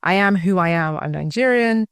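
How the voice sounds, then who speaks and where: A woman's voice: fairly low pitch, lively intonation, moderate pace, clear, resonant tone, no room sound, clean studio sound. A woman from the UK with a British accent, answering interview questions in a reflective, conversational way and often checking that she is understood. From United Kingdom. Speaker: school founder, guest.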